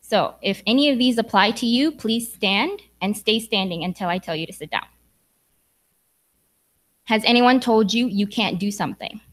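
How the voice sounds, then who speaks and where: A woman's voice: medium pitch, normal speed. A young woman from Sudan giving an earnest, encouraging speech to graduates in a church hall. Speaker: graduation speaker.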